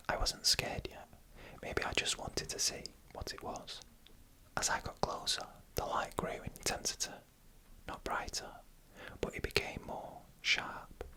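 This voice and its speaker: A narrator reading a scary story in a soft, unvoiced ASMR whisper, slowly and deliberately in short phrases with pauses. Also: low-quality recording.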